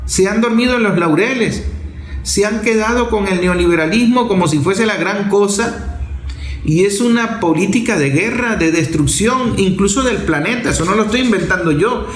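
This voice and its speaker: Emphatic man with a high-pitched voice. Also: expressive, moderate pace, reverberant, poor audio. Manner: emphatic, rhetorical questions and repeated phrases. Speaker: political commentator. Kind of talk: political commentary monologue.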